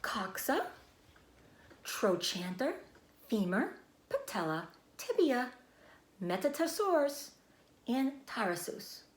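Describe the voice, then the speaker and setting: A wise lady spider in a children's storybook, acted out by a woman. She speaks slowly and precisely in a lightly raised, soft voice, patient as a teacher. The room adds some echo. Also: a little high, sing-song, a little hiss or hum, voice pushed up. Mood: knowing, precise. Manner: measured, deliberate, softly instructive.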